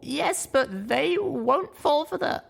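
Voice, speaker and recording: A man doing a squeaky young unicorn mare. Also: takes it slowly, vocal fry, full character voice. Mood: urgent. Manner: high squeaky excited yelps. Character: young female unicorn pony heroine, earnest.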